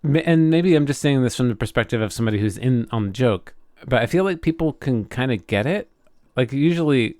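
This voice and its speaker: Lively man in close, dry sound. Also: medium pitch, brisk, no room sound. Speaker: podcast co-host. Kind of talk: casual joking chat.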